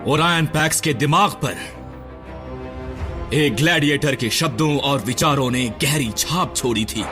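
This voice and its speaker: A man's voice: on the high side, close to an everyday voice. A man voicing a stern old robot medic. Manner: measured, lecturing, somber. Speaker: storyteller recounting history. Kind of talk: Hindi cartoon dub. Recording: studio dub with loud score.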